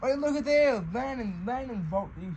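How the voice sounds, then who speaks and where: A man hosting an unboxing video in casual, excitable chat. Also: extremely high pitch, moderate pace. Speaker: host of a radio unboxing video. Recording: noisy amateur recording with clicks.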